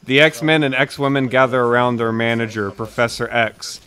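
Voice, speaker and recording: A man's voice: medium pitch, normal speed, fairly bright tone, clear, resonant tone. A young man on a livestream at home, reading absurd comedy scripts aloud. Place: dim room at home.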